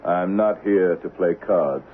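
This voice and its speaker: A thin, old radio recording carries the very low voice of a calculating western avenger. He speaks deliberately and with control, dryly taunting, with a faint hiss underneath. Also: narrow, tinny audio. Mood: cold, controlled. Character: wronged man seeking revenge, cool, calculating, in Old West. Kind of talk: 1970s radio drama acting.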